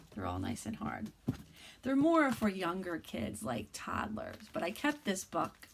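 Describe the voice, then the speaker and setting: A woman in her thirties, a kindergarten teacher, reading a bedtime picture book aloud to young children in a soft, hushed voice that often drops to a whisper. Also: mid-range voice, gravelly. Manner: gentle and encouraging to young children.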